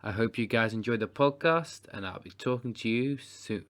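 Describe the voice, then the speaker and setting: A young man co-hosting a podcast, chatting in an upbeat, casual way. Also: mid-range voice. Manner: upbeat, chatty, quick shout-outs. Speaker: podcast co-host. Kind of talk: casual chat.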